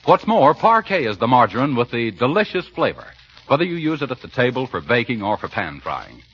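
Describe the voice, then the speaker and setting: A man's voice: medium pitch, sing-song, a little fast, fairly bright tone, highs cut off. A man announcing for 1940s radio in a polished, earnest, scripted sales style. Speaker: radio announcer and sponsor spokesman. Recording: old 1940s radio broadcast, band-limited, slightly husky.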